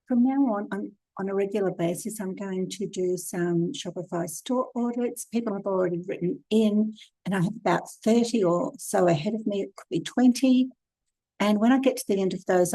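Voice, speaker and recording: A hoarse woman, hosting a casual screen-share tutorial and giving chatty, direct, practical advice. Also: mid-range voice, dark tone, no echo, poor audio. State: hoarse from a cold. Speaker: e-commerce consultant and channel host.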